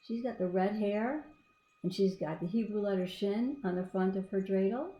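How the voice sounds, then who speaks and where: Lady doing a kids read-aloud, expressive, chats to the little listeners, roomy echo. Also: mid-range voice, moderate pace, lo-fi sound. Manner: expressive, asking the listener questions. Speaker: storybook reader. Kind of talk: children's read-aloud with asides to young listeners. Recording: echoey room.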